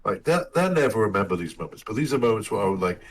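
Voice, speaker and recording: A man co-hosting a casual chat about being a dad, telling funny stories in an animated way. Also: on the low side, fast, slight background noise. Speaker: podcast co-host. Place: lives in Michigan.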